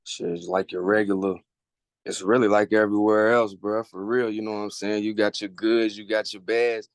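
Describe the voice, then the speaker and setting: A man's voice: on the low side, little pitch movement, normal speed, no room sound. Slangy man in his late twenties. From Lexington, Kentucky. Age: 20s. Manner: loose, slangy, laughs easily. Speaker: music artist guest. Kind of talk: casual interview chat.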